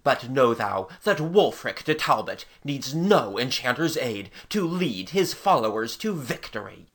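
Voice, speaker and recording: A woman voicing a haughty, gallant medieval knight in a storybook, with husky, pompous, stately archaic speech. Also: extremely low pitch, sing-song, unhurried, voice pushed down, full character voice. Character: haughty, gallant medieval knight captain, male, gravely noble. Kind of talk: storybook character dialogue.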